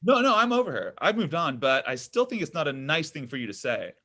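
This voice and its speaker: A man reads a needy, pushy thirty-something guy who gets defensive fast. His voice sits a bit high and bright, jumping up and down as he over-explains and whines. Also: sing-song, full character voice. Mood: awkward, defensive. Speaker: actor playing William. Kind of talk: table read of a comedy pilot.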